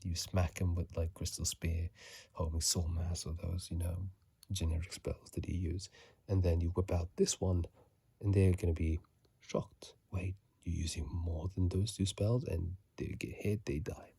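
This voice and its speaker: A man whispering casual ASMR game commentary in a hushed, breathy voice, close to a phone microphone. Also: low voice, flat delivery, measured pace, dry recording. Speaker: ASMR video host.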